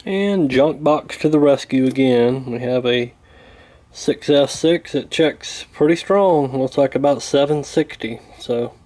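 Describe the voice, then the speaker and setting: A man giving a casual hands-on repair walkthrough in a folksy, hesitant, unhurried way. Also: mid-range voice, dark tone. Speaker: radio repair hobbyist demonstrating.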